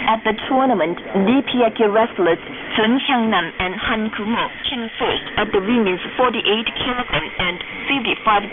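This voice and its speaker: Female radio news announcer, formal and declamatory, heard through noisy, phone-thin shortwave. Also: mid-range voice. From North Korea. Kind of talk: formal scripted news reading. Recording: noisy, narrow-band shortwave radio recording.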